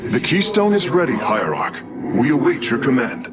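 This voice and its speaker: A man playing a loyal, worried alien engineer in a video game, formal, sincere and expressive. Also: normal speed, natural voice. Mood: earnest, devoted. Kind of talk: dramatic video game cutscene acting. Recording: loud ambient rumble behind.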